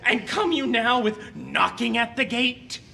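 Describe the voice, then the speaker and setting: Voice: medium pitch, animated, unhurried, gravelly, pushed and bright, soft background music, heavily acted. An actor playing a cheeky, flustered manservant in a theatrical Shakespearean audio play, exclaiming indignantly. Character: cheeky, flustered male servant pleading with his master.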